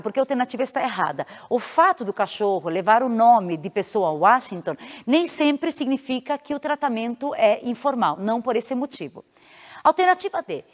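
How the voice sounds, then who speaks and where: High-voiced Brazilian woman teaching in echoey reverb. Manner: didactic, addressing students directly; switches between Portuguese explanation and reading Spanish aloud. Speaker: Spanish teacher. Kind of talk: explanatory exam-prep lesson. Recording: long hollow reverberant hall echo.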